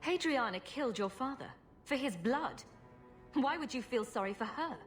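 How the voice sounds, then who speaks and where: An actress playing a calm, direct and compassionate adventurer heroine in a video game. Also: female voice, fairly high pitch, normal speed, faint music behind, close to an everyday voice. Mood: kind, firm. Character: composed, compassionate adventurer heroine, mistress of the house.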